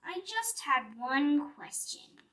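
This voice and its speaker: A woman reading a picture book aloud for young children in an animated, deliberate storytelling manner. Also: high-pitched. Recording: distant mic with music behind. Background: music.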